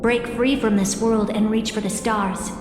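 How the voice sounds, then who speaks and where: An actress playing a solemn, enigmatic oracle in a video game, speaking in a measured, cryptic way. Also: female voice, medium pitch, fairly even intonation, natural voice. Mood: grave, measured. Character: solemn, enigmatic female oracle explaining fate to another. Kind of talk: dramatic video game story dialogue. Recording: echoey reverberant hall, music underneath.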